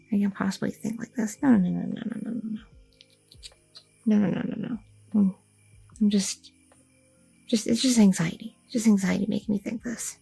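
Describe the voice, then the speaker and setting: A woman's voice: mid-range voice, gravelly, mellow, slightly rough, rough recording. A woman playing an anxious, devoted young goth girlfriend in an ASMR audio roleplay, hesitant and rambling, often repeating herself. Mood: anxious, flustered. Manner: hesitant, stammering, self-interrupting, repeating words.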